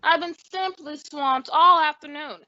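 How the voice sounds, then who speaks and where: An actress playing a gossipy, harried small-town telephone operator, chatty and brisk, in old-time radio style. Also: female voice, high-pitched, bright, forceful tone, dry recording, muffled recording. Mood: harried, businesslike.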